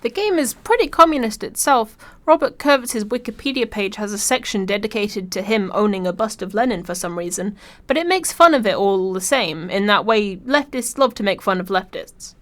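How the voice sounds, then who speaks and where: A woman's voice: medium pitch, expressive, moderate pace. A woman reading scripted video essay narration in a wry, informal way.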